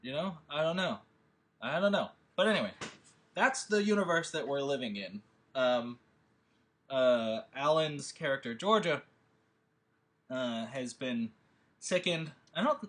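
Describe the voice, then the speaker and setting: A man's voice: medium pitch, fairly bright tone. A man hosting a remote table read, chatting loosely with lots of fillers and then reading stage directions over a video call. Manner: rambling, filler-heavy, offhand. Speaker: writer and host of the table read. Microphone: video call with desktop audio. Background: score music.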